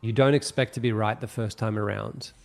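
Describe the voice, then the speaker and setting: A man leading a project, giving thoughtful, measured answers at an online town hall and slowing down to explain things. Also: lowish voice, steady tone, creaky. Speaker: project lead answering questions.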